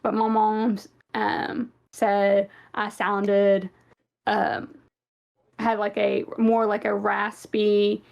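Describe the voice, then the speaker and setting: A woman's voice: medium pitch, monotone, drawn-out words, vocal fry, a little mellow. A young woman with a Southern US accent co-hosting a casual fan podcast, chatty and rambling with many fillers. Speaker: podcast co-host.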